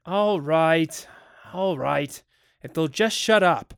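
A man voicing an exasperated, stern father in a dramatic story reading, forceful and insistent. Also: on the high side, slow, gravelly, clear, resonant tone, no echo, exaggerated voice. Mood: exasperated, firm. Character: exasperated stern father, fed up, barking orders.

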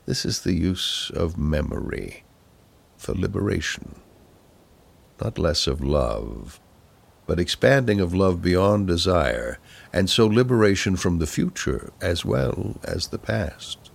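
A man giving a solemn poetry reading in a measured, deliberate manner, pausing between phrases. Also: low voice, animated, creaky, husky. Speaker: poetry reader.

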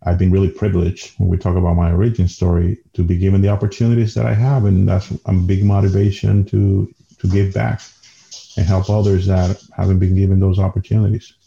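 A man with a Dominican Spanish accent talks casually as an interview guest. His voice is low and creaky with little swing in pitch, and he often restarts his phrases. The recording is a bit dull and lo-fi. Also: level tone, fairly quick, dark tone, slight background noise. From Dominican Republic. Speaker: podcast guest, engineer.

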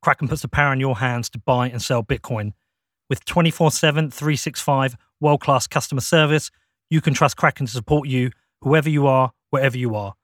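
A man's voice: mid-range voice, a little fast, a bit bright, no room sound, studio quality. A man reading a sponsor message in an upbeat advertising style. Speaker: ad announcer.

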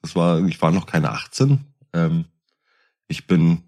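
A man casually telling personal anecdotes in an animated storytelling manner. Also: low-pitched, slow pace.

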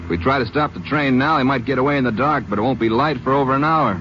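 Actor doing a fast-talking deputy, brisk, muffled old radio. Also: male voice, medium pitch, background noise, lightly acted. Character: eager talkative deputy lawman partner. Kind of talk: 1950s radio drama acting.

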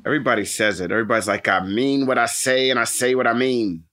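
Bright, forceful man bantering. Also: mid-range voice, steady tone, quick pace. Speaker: podcast co-host. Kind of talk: casual banter.

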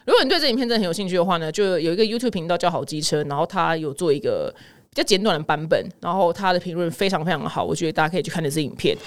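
A woman's voice: on the low side, lively intonation, racing. A woman podcast host with a Taiwanese Mandarin accent, commenting on the news in a chatty, informal, slangy way.

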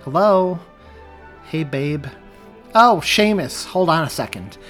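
A man's voice: fairly high pitch, lively intonation, slow, a bit bright, soft background music, pitched above the performer's own voice. A man reading aloud as a wife and mother who grows irate and hurt, her voice near breaking. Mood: casual. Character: upset woman, wife and mother.

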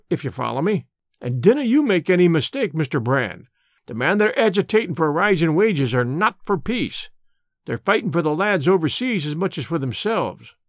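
A blunt older Scottish labour radical speaks in thick dialect, as a male reader puts him on. His voice sits high and swings widely. The recording is dry and close. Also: no echo. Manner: broad dialect, forceful and opinionated. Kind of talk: audiobook character voice.

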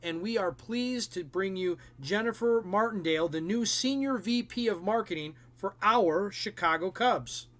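Sports podcast guy reading the intro, pretty high voice, upbeat announcer vibe. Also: normal speed, few pauses, a bit bright. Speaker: podcast co-host.

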